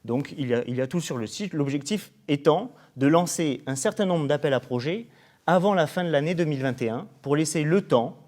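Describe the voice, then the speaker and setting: A man's voice: fairly high pitch, animated, a little fast, darkish tone. A man speaks as an interviewed coordinator. He explains things formally, setting out points one after another in the manner of a promotional presentation. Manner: formal, explanatory, enumerating in lists. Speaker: programme coordinator being interviewed.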